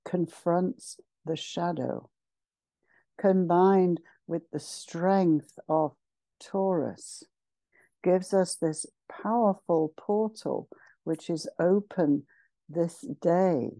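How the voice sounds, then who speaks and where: A woman with a lowish, soft-toned voice leads a guided meditation at an extremely slow pace. She is solemn and ceremonial, setting out short phrases with pauses between them. The sound is close and dry. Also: no room sound. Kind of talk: slow guided meditation, channeled spiritual address.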